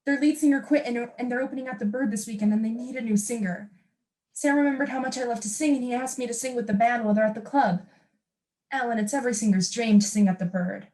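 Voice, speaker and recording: A woman's voice: fairly high pitch, fast, dark tone, close to an everyday voice, rough recording. A young woman acting in a stage play as a defiant, wounded wife who longs to sing. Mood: earnest, tentative. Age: young adult. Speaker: student actor.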